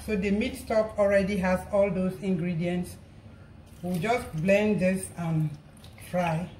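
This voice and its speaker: A woman with a hoarse, croaky voice calmly talks through a recipe as she cooks at home. Pots clatter and oil sizzles in the background, clearly audible under her voice. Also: on the low side, slow pace. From Nigeria. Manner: relaxed, explains each step while cooking. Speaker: cooking channel host. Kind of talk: casual cooking narration. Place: home kitchen. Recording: noisy kitchen. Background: pots clattering and food frying.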